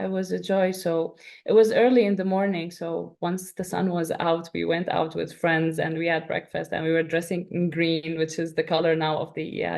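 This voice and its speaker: A woman's voice: on the low side, vocal fry. A woman from Syria in her thirties, a writer being interviewed, speaking reflectively with many fillers and restarts. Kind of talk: emotional interview answers.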